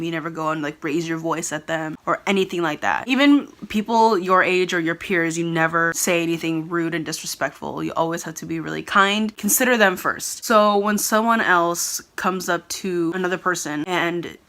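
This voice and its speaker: A young woman from California talks straight to her camera in an earnest, rambling way, with frequent restarts. Her voice sits a little low but has a bright, ringing edge. Tagalog was her first language. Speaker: vlogger. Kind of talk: casual talking-to-camera commentary.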